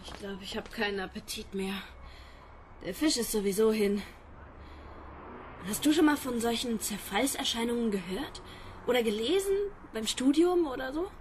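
Radio play: fretful, sceptical young student played by a woman, high pitch, sardonic edge, faint room sounds behind. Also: animated, moderate pace, slight background noise, close to an everyday voice. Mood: uneasy. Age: young adult. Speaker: actor in a radio drama. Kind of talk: naturalistic German radio play acting.

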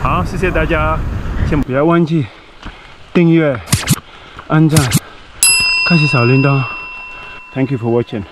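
A man's voice: a little high, steady tone, unhurried, pauses often, background noise. A middle-aged man presenting an outdoor adventure vlog in a casual, enthusiastic, informal way. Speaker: adventure travel vlog host. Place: outdoors in a rocky river canyon. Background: music.

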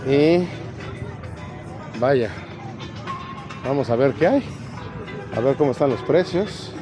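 A man in his thirties rambles through a casual vlog as he browses an outdoor swap meet. His voice sits in an ordinary middle pitch, picked up by a body-worn action camera. Music plays clearly in the background. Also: animated, slow, a crowd in the background. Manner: chatty, rambling, lists items aloud. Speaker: vlogger. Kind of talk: casual walk-and-talk vlog. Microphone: action camera on body or handheld.

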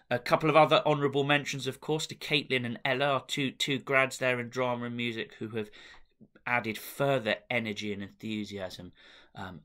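A middle-aged British man giving a semi-formal thank-you address to camera, speaking in measured phrases with pauses. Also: fairly low pitch, bright, forceful tone. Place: small indoor room with bookshelves, at home. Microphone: webcam at desk distance.

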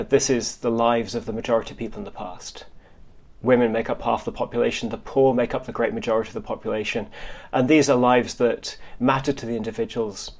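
A man's voice: medium pitch, creaky. A man giving explanatory interview answers as an academic historian, often repeating and rebuilding his phrases as he goes.

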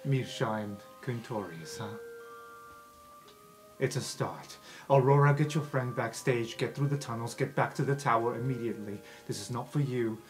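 A man's voice: mid-range voice, moderate pace, faint music behind, natural voice. A man playing a stern, exasperated older male professor of magic in a tabletop game. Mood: urgent, commanding. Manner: commanding, exasperated, clipped.

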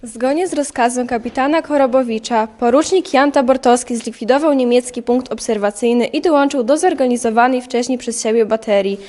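A woman's voice: a little high, a little fast, few pauses, a little mellow. A young woman reading scripted historical narration for an audio drama.

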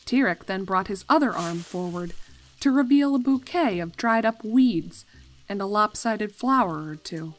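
A woman reading a fan fiction story aloud as an expressive narrator. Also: mid-range voice, measured pace, rough recording.

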